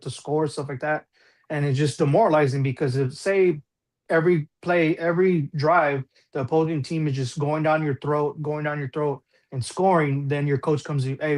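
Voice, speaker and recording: Higher-pitched man in casual sports talk. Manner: colloquial, repeats phrases for emphasis. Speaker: sports panelist.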